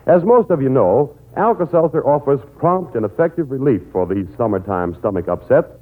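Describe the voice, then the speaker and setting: A man's voice: mid-range voice, animated, normal speed. An American man announcing a sponsor message in 1940s radio advertising style. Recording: muffled old narrow-band radio recording.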